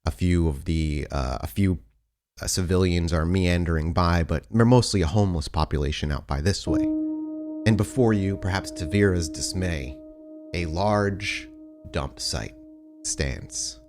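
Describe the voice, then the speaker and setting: A man's voice: low voice, unhurried, music in the background. A man hosting and narrating a tabletop role-playing podcast as its storyteller. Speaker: host and game storyteller. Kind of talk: casual show chat and game narration.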